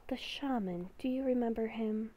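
A woman voicing a mysterious, insistent inner voice in a breathy whisper, cryptic and calmly persistent, for a game roleplay. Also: a little high, moderate pace, lo-fi sound, a little hiss or hum, close to an everyday voice. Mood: cryptic, grave.

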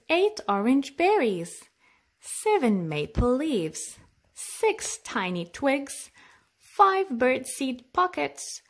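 Very slow, high-voiced woman reading to children. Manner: slow, sing-song, chatty asides to young listeners. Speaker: children's storytime host. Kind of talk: read-aloud picture book for children.

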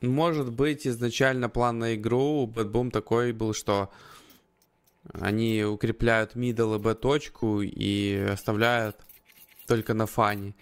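A man co-commentating on an esports match, giving measured tactical analysis in short, broken phrases. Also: medium pitch, little pitch movement, clean tone. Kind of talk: live analytical Counter-Strike commentary.

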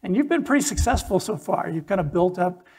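Man, 60s, conversational keynote speaker, slightly high-pitched husky voice, leans on key words. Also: animated, a little fast, a little mellow. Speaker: keynote speaker at a charity event. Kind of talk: public keynote presentation. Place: stage in an event hall with a PA, indoors.

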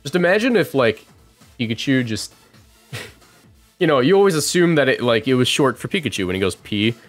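A young man in his twenties streams a video game with an average-pitched voice. He talks casually and thinks out loud as he plays. Light music runs behind him. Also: big pitch swings, normal speed. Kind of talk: casual live game commentary.

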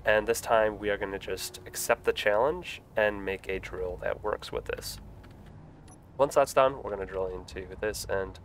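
A man's voice: on the low side, moderate pace, slight background noise. A young man with a stuffy voice from a cold, chatting casually with lots of fillers while live-streaming a building game. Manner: relaxed, rambling, frequent fillers.

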